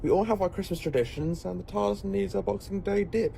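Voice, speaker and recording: Male actor as eccentric time-traveller professor, gravelly creak, wry, cautious, curious, audio drama, clearly noisy background. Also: sits high, a little fast, dark tone. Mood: uneasy, musing. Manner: wry, musing, British idiom.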